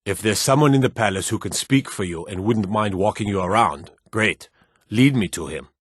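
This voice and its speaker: An actor playing a stern, dutiful fantasy guard and soldier in a video game, formal and commanding, with measured delivery. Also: male voice, on the low side, breathy edge, no room sound, clean studio sound. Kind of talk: scripted fantasy game dialogue.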